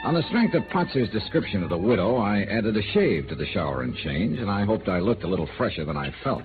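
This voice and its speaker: An actor playing a wry, unflappable insurance investigator with a dry, clipped delivery in a 1950s radio noir drama. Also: male voice, fairly low pitch, sing-song, quick pace, loud music behind the voice. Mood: wry, brisk. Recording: narrow, tinny old radio recording.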